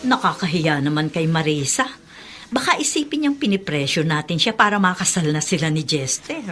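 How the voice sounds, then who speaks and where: A gentle middle-aged mother. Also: low voice, lively intonation, normal speed, music in the background. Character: caring mother eager to see her son wed. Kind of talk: Filipino radio drama acting.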